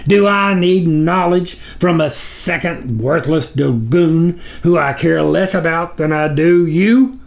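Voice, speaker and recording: A man's voice: on the high side, takes it slowly, creaky, hardly any pauses, pitched above the performer's own voice. A man voicing a pompous, impatient demon sublord, weary and scornful, in a storybook reading. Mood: tired, imperious.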